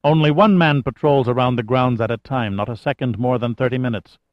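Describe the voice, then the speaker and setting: A man's voice: mid-range voice, a little fast, dry recording, highs cut off, close to an everyday voice. A man voicing a cautious but unafraid militant lookout in an audiobook thriller, blunt and matter-of-fact. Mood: watchful, businesslike.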